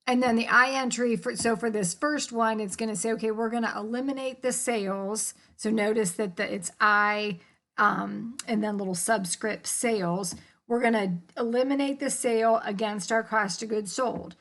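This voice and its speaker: A woman's voice: a little high, fairly even intonation, normal speed, a bit bright. An American woman giving an informal, explanatory lecture as an instructor, in colloquial language with casual check-ins. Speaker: accounting instructor.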